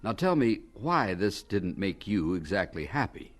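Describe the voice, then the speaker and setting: A man's voice: lowish voice, sing-song, measured pace. A man narrating and interviewing in a formal, scripted radio style. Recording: organ music behind the voice. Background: organ music.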